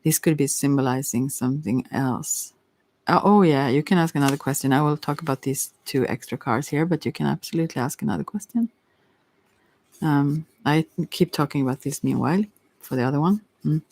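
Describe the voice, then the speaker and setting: A non-native English speaker giving a casual, unscripted tarot reading in a hesitant, halting way, searching for words. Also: mid-range voice, darkish tone. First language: not English. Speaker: tarot reader.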